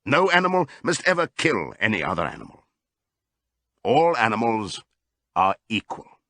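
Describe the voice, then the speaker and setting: A man voicing an old male pig in an audiobook, giving a solemn, deliberate, rousing speech to his comrades. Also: lowish voice, huge pitch swings, sharp tone, no echo, clean studio sound, full character voice. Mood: solemn, commanding. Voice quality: hoarse as scripted old voice. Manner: oratorical, deliberate.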